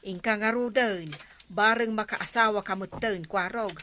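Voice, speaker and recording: A woman's voice: medium pitch, fairly even intonation, moderate pace, sharp tone, slight background noise, lightly acted. An actress playing a skeptical, bossy, older unmarried village councilwoman in a comedy radio drama.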